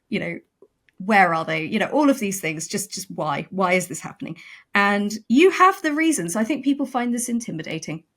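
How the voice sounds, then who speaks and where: Woman writer, medium pitch, emphatic punchy delivery. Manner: emphatic, animated, repeats for emphasis. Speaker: writer, podcast guest. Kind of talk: casual podcast chat.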